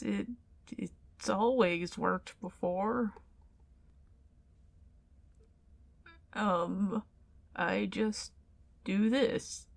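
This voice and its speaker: A woman plays a flustered female ghost in an ASMR roleplay. Her voice sits a little low for a woman, and she speaks slowly. She is huffy and defensive, stammering as she insists on being taken seriously. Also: gravelly, darkish tone, heavily acted. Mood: defensive, hesitant. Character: indignant, flustered tsundere ghost woman, over a century old.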